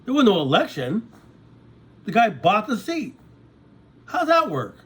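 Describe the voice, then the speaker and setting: Man, sixties, high-ish animated voice, meandering vlog monologue with frequent asides to viewers. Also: a little slow, husky. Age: 60s. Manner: conversational, repeats "okay?" to check in with viewers. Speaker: vlogger. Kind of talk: rambling casual monologue.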